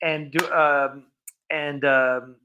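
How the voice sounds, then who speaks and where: A man's voice: fairly high pitch, fairly even intonation, extremely slow, highs cut off, slight background noise. A man hosting a casual livestream, chatting in a jokey, talkative way. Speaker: livestream host. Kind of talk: casual live chat.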